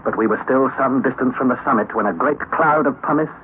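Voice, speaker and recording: A man's voice: mid-range voice, fast talker, natural voice. An actor playing an anxious young male nephew who narrates an old radio drama in earnest first person. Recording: tinny lo-fi old radio recording with faint hiss.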